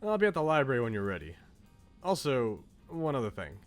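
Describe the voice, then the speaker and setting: A man voicing a composed, cautious, bookish young man in a visual novel, polite and measured. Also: medium pitch, very animated, soft background music, lightly acted. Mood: calm cautious.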